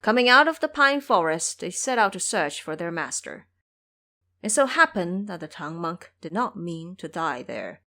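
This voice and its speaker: A woman's voice: lowish voice, big pitch swings, normal speed, bright, forceful tone. A woman narrator telling a story in a dramatic, scripted audio drama style.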